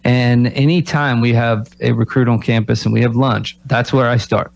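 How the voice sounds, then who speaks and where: A man who coaches college basketball talks on a sports radio show in a reflective way, often closing his points with rhetorical questions. His voice sits at a middle pitch. The studio sound is dry and close. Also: fairly even intonation, normal speed, gravelly, no room sound. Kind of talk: casual sports radio talk.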